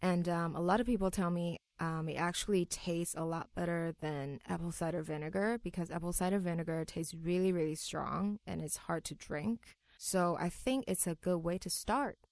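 Low-voiced Taiwanese woman, dry sound. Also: normal speed, few pauses, darkish tone, no echo. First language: Mandarin. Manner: earnest, speaks English as a second language with fillers. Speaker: guest. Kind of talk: interview answers.

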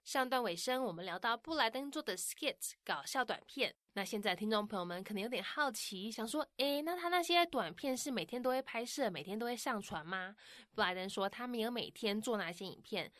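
A woman narrates a language-learning podcast, explaining things in a friendly way and ending sentences with soft particles. Her voice sits a little high. The recording is dry and close. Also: lively intonation, a little fast, no echo. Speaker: podcast host explaining in Mandarin. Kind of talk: scripted bilingual podcast narration.

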